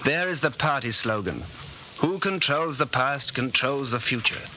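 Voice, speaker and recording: Weary fearful dystopian rebel, very sharp forceful tone, earnest brooding delivery, 1940s radio drama, hissy old broadcast. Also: male voice, medium pitch, expressive, a little slow, low-quality recording. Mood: anguished, defiant.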